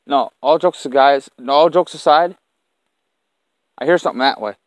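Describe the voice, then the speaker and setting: A man's voice: a little high, moderate pace, no echo. Blunt man filming a night camping vlog. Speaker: camper filming the outing. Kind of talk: casual camping vlog talk, swearing. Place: outdoors in woods at night. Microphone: handheld camera he is holding.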